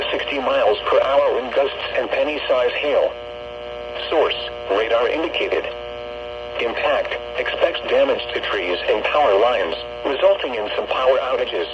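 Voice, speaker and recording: A synthetic man's voice reading a scripted weather warning bulletin in a formal, flat, clipped way as a radio announcer. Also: mid-range voice. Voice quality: synthetic text-to-speech voice. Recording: muffled, noisy weather radio with hum.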